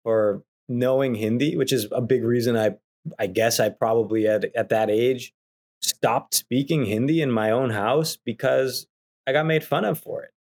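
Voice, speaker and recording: A man's voice: medium pitch, moderate pace, a little mellow. A man in his thirties, a podcast guest, speaking in an earnest, thoughtful way with some hesitations. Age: 30s. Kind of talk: casual conversation.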